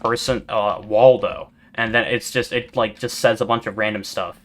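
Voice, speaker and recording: A man giving rambling, monotone commentary over gameplay, full of fillers and restarts, as a gaming video creator. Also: lowish voice, moderate pace. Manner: rambling, self-described yapper with many fillers and restarts. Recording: steady background noise.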